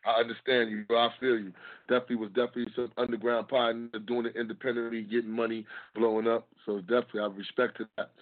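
A man from Harlem hosting a casual interview, relaxed and affirming, dropping street slang as he asks his questions. His pitch is ordinary. The audio is narrow and phone-like. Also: fast. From Harlem, New York. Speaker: interviewer host.

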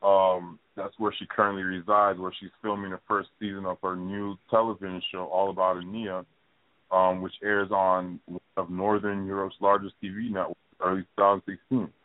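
A man's voice: sits low, little pitch movement, normal speed, dry recording. A man hosting a casual interview show, rambling with lots of fillers and repeats. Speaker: podcast host. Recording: tinny phone line.

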